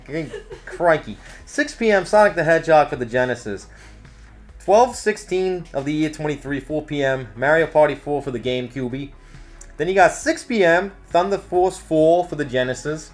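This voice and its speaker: A playful co-host reads out event listings for a store news show with a very animated, swinging pitch. The talk is casual and full of joking asides, with light music in the background. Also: moderate pace. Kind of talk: casual banter while reading out event listings.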